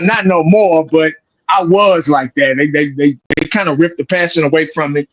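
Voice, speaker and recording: A man in his forties speaks in a drawl, his voice sitting a touch high for a man. He talks bluntly and profanely as a sports video creator, rambling and restarting his sentences in a loose, casual chat. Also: rough recording. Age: 40s.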